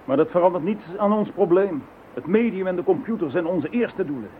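A man's voice: high voice, animated, fairly quick. Een man die in een hoorspel een gretige, zakelijke medewerker speelt. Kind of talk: radio play acting. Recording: lo-fi phone-band radio recording with faint hiss.

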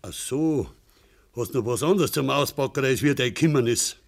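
An actor playing a bitter, stubborn old peasant farmer in a radio play, curt, sarcastic and defiant, in Bavarian dialect. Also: male voice, medium pitch, expressive, moderate pace, vocal fry. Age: older.

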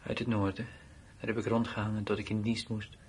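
A blunt, uneasy soldier acted by a man in a radio play. His voice sits fairly low and he talks in a plain, colloquial, matter-of-fact way. The old recording carries faint noise. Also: level tone, brisk, close to an everyday voice. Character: blunt, uneasy male soldier left behind at his post. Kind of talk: Dutch radio drama acting.

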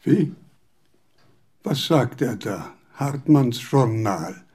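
A fastidious old scholar, radio play, formal courtesy, slow and measured, soft husky dark tone, faint music behind. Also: male voice, mid-range voice, expressive, heavily acted. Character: aging, fussy, courteous male philosopher. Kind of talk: radio drama acting.

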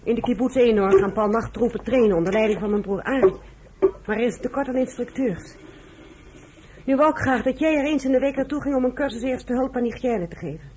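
An actress playing a fierce, blunt young kibbutz fighter woman with a husky voice in a radio play. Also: a little high, fairly quick, noisy background, natural voice. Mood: businesslike. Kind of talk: Dutch radio play acting.

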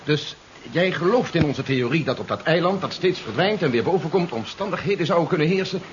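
A man's voice: a little high, expressive, a little fast, natural voice. An actor playing a decisive male comrade eager for action in a 1970s radio drama, speaking formally and articulately. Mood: keen, urgent. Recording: muffled radio recording with faint hiss.

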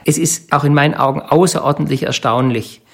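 A man speaking reflectively in an interview, in thoughtful, measured phrases. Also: on the high side, steady tone, creaky. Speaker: interviewee, descendant of a company founder.

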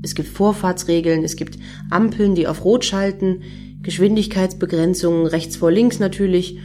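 A woman's voice: fairly low pitch, fairly even intonation, moderate pace, mellow, faint music behind, lightly acted. An actress playing a bossy, protective female fan in a German radio play, opinionated and teasing.

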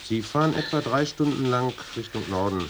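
An actor playing a secretive, careful Finnish newspaper colleague in a German radio play. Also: male voice, mid-range voice, animated, a little fast, natural voice. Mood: instructing. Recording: clearly noisy background ambience.

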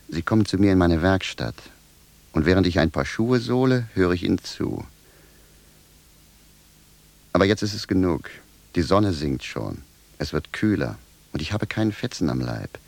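A gruff, exasperated tradesman in a radio drama. His voice is low and gravelly and moves up and down a lot as he grumbles bluntly and stubbornly. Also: darkish tone. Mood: weary, scornful. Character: gruff, stubborn, exasperated naked shoemaker raised from the dead. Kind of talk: German radio play acting.

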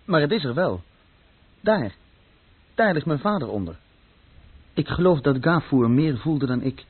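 A man's voice: a little high, animated, a little slow, breathy edge. An actor playing a troubled, plain-spoken young German man who recounts his past in short matter-of-fact sentences in a radio play. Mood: subdued, uneasy. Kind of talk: radio play first-person narration. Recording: dull lo-fi old radio play recording.

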